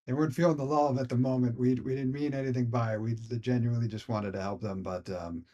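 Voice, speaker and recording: A man's voice: medium pitch, fairly even intonation, quick pace, mellow, highs cut off. An American man co-hosting a casual discussion podcast, talking in a hedging, meandering, conversational way. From Philadelphia, United States. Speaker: podcast co-host.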